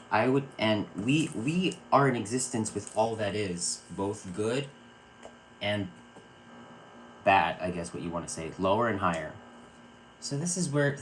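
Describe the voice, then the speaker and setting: A man from Virginia hosting a spiritual live stream, talking earnestly and calming his viewers with a repeated "okay". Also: on the low side, a little hiss or hum, poor audio. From Virginia, USA. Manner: earnest, repeats words and restarts phrases. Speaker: psychic circle host. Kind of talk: live-stream spiritual talk answering chat.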